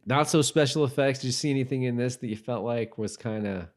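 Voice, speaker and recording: A man co-hosting a podcast in casual chat, talking in a halting way and repeating himself as he thinks aloud. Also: medium pitch. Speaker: podcast co-host.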